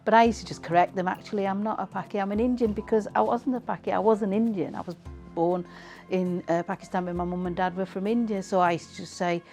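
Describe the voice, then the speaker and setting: A woman's voice: medium pitch, fairly even intonation, quick pace, darkish tone, soft background music. A woman, reminiscing in a conversational, reflective way as she is interviewed. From England.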